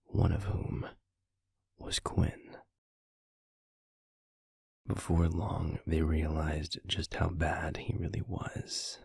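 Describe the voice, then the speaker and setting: A man playing a stern, guarded werewolf pack alpha in an audio roleplay, speaking formally and deliberately in a gravelly, husky voice. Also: deep voice, a little mellow, dry recording, studio quality, heavily acted. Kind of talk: scripted audio roleplay acting.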